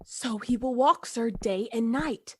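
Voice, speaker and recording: A woman's voice: on the high side, takes it slowly, a bit bright, breathy edge, heavily acted. A woman acting an anxious, deferential household servant in a gothic radio drama, respectful and apprehensive. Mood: haunted.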